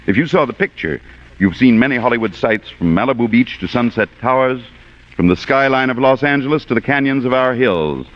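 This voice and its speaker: Animated American 1940s radio host, muffled. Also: male voice, mid-range voice. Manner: formal, measured, rhetorical. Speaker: guest producer and host of a radio theater. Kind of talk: 1940s radio introduction, scripted. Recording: muffled, noisy vintage radio broadcast.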